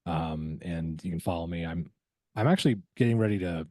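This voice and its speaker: A man's voice: deep voice, fairly quick, warm tone, dry recording, clean studio sound. A man speaking casually as a podcast guest, in an easygoing, informal and encouraging way. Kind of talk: casual interview chat.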